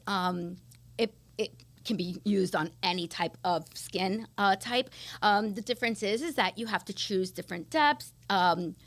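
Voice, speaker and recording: A middle-aged woman from Miami with an American accent, answering interview questions in an enthusiastic, explanatory and conversational way. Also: mid-range voice. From Miami, United States. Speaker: interview guest, esthetician.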